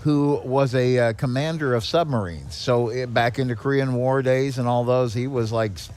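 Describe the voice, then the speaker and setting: A man's voice: medium pitch, a little mellow. A man with a mid-range voice talks as an interview guest, with some background noise around him. He explains things as a conversational story, hesitating slightly here and there. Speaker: podcast guest, nonprofit executive director. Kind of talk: casual explanatory interview talk.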